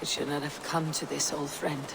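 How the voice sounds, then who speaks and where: A woman's voice: low voice, flat delivery, creaky, very noisy. Stern Viking clanswoman in command. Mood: grieving. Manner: grave, commanding, deliberate. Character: stern, resolute female Viking clan leader. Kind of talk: dramatic video game acting.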